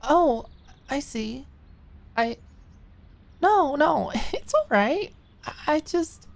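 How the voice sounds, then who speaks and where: Woman voicing a high-pitched, flustered young fashion student. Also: very animated, extremely slow, gravelly, exaggerated voice. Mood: deflated. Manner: flustered, stammering, over-apologetic. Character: eager, anxious young fashion student, woman, hiding hurt.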